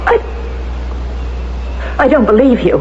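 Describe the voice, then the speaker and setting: An actress playing an anguished, defiant middle-aged widow in a radio drama, speaking in a halting, emotional, melodramatic way. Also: female voice, fairly high pitch, lively intonation. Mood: disbelieving. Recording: very noisy, dull radio recording.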